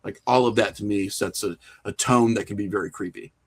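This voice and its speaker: A man's voice: on the low side, fairly quick, husky. A middle-aged man talking casually as an interview guest, opinionated and rambling as he works through his thoughts. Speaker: podcast guest, horror filmmaker and writer.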